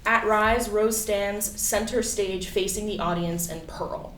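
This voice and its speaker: The sound is distant and echoey, with faint noise. A woman reads stage directions as scripted narration, her voice at an average pitch. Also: steady tone, slow pace, fairly bright tone. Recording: echoey, noisy room, distant from mic.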